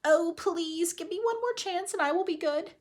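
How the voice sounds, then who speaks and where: A woman's voice: very high voice, normal speed, a little mellow, pitched above the performer's own voice. A woman voicing a simple-minded, ever-hungry squirrel, exclaiming its food-obsessed thoughts in a children's storybook. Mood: pleading. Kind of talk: children's storybook read-aloud.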